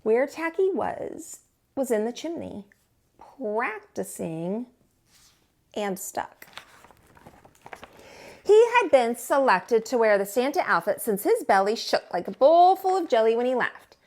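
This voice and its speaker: A woman narrating a children's storybook as a storytime teacher, in an expressive read-aloud style. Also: fairly high pitch, takes it slowly, long pauses.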